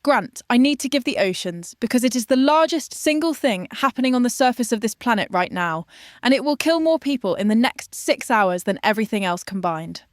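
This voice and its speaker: A woman's voice: on the high side, dry recording, studio quality, close to an everyday voice. A woman playing a grave, precise science correspondent explaining disaster in a scripted radio drama.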